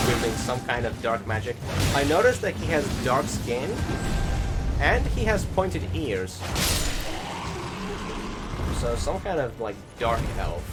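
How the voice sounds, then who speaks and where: Male gaming host, middling pitch, casual and rambling, halting delivery, prominent music behind. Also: lively intonation. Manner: hesitant, rambling, word-searching. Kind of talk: casual voice-over game commentary.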